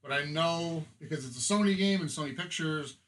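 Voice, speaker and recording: A man's voice: fairly high pitch, brisk, fairly bright tone, slight background noise. A man co-hosting a podcast, talking in casual, conversational style. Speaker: podcast co-host.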